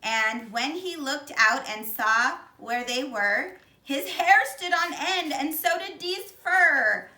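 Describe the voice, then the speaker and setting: A woman reading a children's picture book aloud in an expressive, deliberate way, with dramatic emphasis. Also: sits high, distant mic. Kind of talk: animated children's storybook read-aloud. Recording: echoey, roomy sound.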